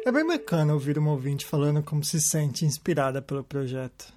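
A man hosting a podcast, telling stories in a calm scripted narration. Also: fairly high pitch, fairly quick, over loud music. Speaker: podcast host. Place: lives in Japan.

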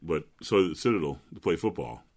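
A middle-aged opera bass singer, a man with a deep, resonant speaking voice, chatting easily as an interview guest and telling stories with ready laughs. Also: animated, brisk, darkish tone. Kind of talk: relaxed interview chat.

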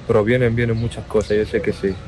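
A young Dominican man with a low, level voice gives a reporter his answers. He talks haltingly, circling back over his own words, and his tone hardly rises or falls. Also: level tone, a little mellow, a little hiss or hum. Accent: Dominican Spanish. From Dominican Republic. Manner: slow, halting, repeats words. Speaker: basketball player interviewed. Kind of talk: outdoor interview.

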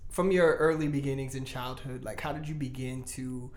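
A man's voice: mid-range voice, steady tone, normal speed. A man hosting a podcast, introducing episodes and asking interview questions in a friendly way with brief reactions. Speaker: podcast host.

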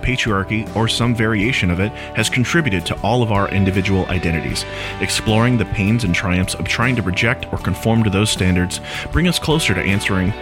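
A man hosting a podcast interview, chatting casually with plenty of filler words. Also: on the low side. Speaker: podcast host.

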